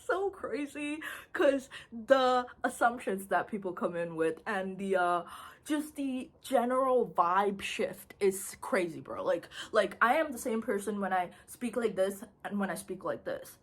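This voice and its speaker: Animated young woman from India chatting. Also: mid-range voice, a little slow. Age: young adult. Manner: animated, chatty, laughs often, says "bro". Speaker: vlogger. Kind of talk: casual chat to camera.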